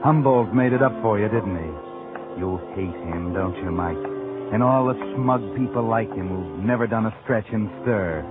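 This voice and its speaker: Ominous, insinuating narrator, male actor, low register, vintage 1940s radio thriller with prominent music underneath. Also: moderate pace, natural voice. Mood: taunting, sinister. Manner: taunting, insinuating, addressing the character as "you". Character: sardonic, ominous male narrator needling the main character. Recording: tinny old radio recording over loud music.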